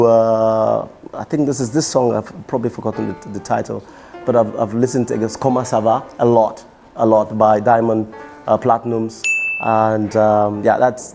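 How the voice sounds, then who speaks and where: A young man, a musician answering quick-fire interview questions in a tired, strained voice, halting and repeating his words. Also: medium pitch, vocal fry, mellow. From Cameroon. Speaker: musician guest.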